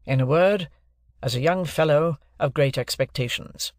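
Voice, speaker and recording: A man's voice: on the high side, normal speed, no echo, clean studio sound, lightly acted. A man voicing a brusque, stern middle-aged lawyer who speaks in curt, clipped, commanding sentences. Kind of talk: audiobook character voice.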